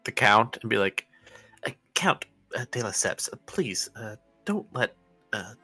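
A man's voice: fairly low pitch, big pitch swings, measured pace, pushed and bright, breathy edge, dry recording, soft background music. A man playing a character in a tabletop game, sounding hesitant and stringing his lines together with "uh". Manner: hesitant, many "uh" fillers. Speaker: player.

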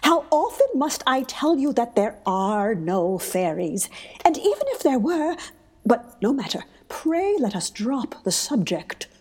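A man voicing a haughty, scornful, impatient storybook queen, husky and imperious, with dismissive exclamations. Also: extremely high pitch, very animated, unhurried, pitched above the performer's own voice, heavily acted. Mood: indignant, scornful.

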